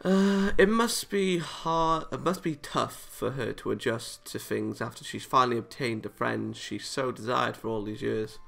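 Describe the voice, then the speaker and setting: A man's voice: mid-range voice, measured pace, creaky, few pauses, fairly bright tone. A man reading a visual novel aloud for a let's play, playful and exclaiming, breaking into joking asides. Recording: music behind the voice. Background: visual novel game music.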